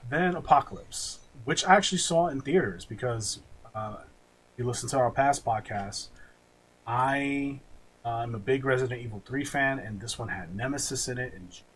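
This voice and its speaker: A man's voice: mid-range voice, normal speed, a bit bright, husky, low-quality recording. A man hosting a podcast in casual, informal chat with some swearing. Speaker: podcast host. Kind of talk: casual chat, film ranking banter.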